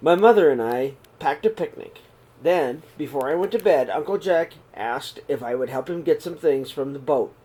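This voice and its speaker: A middle-aged man reading a children's picture book aloud in a small room, slow and expressive. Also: on the high side, breathy edge. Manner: reads slowly and expressively, voices the characters' calls. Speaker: storybook reader. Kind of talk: read-aloud storytelling for children.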